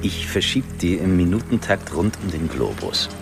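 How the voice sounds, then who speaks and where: An actor playing a boastful, cynical financier in a radio play, talking in a bragging, conspiratorial way. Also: male voice, deep voice, very animated, normal speed, creaky, over music, heavily acted.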